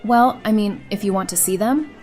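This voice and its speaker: A mid-pitched actress playing a young mother, warm and blunt with her friends. She talks in a teasing, conversational audio drama style, with music clearly underneath. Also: moderate pace, close to an everyday voice. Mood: fond teasing.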